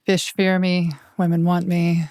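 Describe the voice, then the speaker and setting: A player on a tabletop game podcast, joking in a deadpan way. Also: male voice, high-pitched, measured pace, no room sound, clean studio sound. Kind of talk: casual podcast chat.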